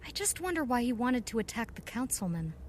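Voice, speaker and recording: An actress playing a confident, inquisitive investigator with a wry, expressive delivery in an audio drama. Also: female voice, a little high, a little fast, a little hiss or hum. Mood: thoughtful.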